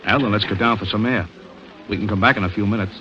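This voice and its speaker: An actor playing a restless, smooth-talking male prowler and thief in a 1940s-style radio drama. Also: lowish voice, quick pace, pushed and bright, a little hiss or hum. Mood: insistent, smooth. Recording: muffled vintage radio recording, music behind.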